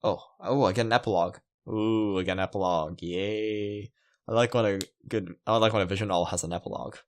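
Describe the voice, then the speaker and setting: A man's voice: on the low side, fairly even intonation, fast. A man doing casual video game commentary, reading text aloud and rambling off into his own musing asides. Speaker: video game let's player. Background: game music.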